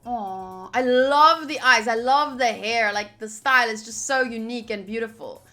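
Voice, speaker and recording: A woman hosting a visual novel playthrough, reading the narration aloud and adding chatty, expressive asides. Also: a little high, normal speed. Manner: chatty, expressive, reacting fondly to the game. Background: background music.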